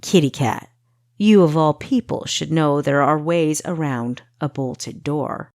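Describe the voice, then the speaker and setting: A woman's voice: deep voice, slow pace, darkish tone, no echo, studio quality. Woman playing a teasing, smirking young man. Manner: wry, teasing. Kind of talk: audiobook character dialogue.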